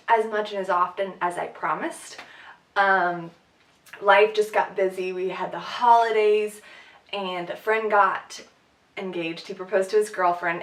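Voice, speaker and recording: A woman's voice: medium pitch, fairly bright tone. Sick woman teaching from across a room. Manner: chatty, many "um"s, light laughs. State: sick, sniffly, short of breath. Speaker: sign language teacher. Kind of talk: casual vlog lesson. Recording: echoey room, far from mic.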